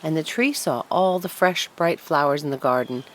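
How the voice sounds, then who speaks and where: A woman narrating a scripted storybook tale in an expressive, deliberate storytelling manner. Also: deep voice, a little hiss or hum, poor audio. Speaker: audiobook narrator.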